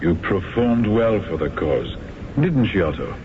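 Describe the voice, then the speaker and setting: Villain: a suave plotter, low voice, tinny hissy radio. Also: male voice, lively intonation, measured pace. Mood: smooth menace. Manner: smooth, commanding, softly menacing. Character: suave secret society leader, cold and manipulative. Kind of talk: 1970s radio drama acting. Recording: tinny lo-fi radio broadcast with hiss.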